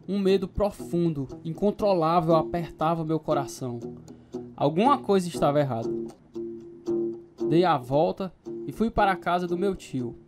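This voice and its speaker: A troubled, fearful man tells the story of his youth as dramatized radio narration. He is earnest and emotive, with plucked guitar music clearly behind the voice. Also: high-pitched.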